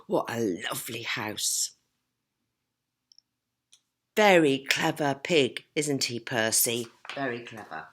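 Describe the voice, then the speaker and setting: A woman's voice: very deep voice, animated, takes it slowly, pauses often, a little hiss or hum. A woman reading a picture book to children, talking to them directly in an encouraging, teacherly way. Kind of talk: animated picture-book read-aloud with asides.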